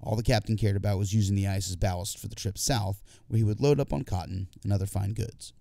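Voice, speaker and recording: Low, mellow male audiobook narrator. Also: fairly even intonation, fast talker, gravelly, dry recording, clean studio sound. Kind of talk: scripted first-person literary narration.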